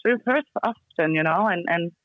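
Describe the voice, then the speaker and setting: A woman's voice: on the low side, moderate pace, no room sound. A woman speaking English in non-native grammar as a podcast guest, blunt and emphatic, driving her points home with repeated commands. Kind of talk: casual chat. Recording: dry, dull lo-fi call line.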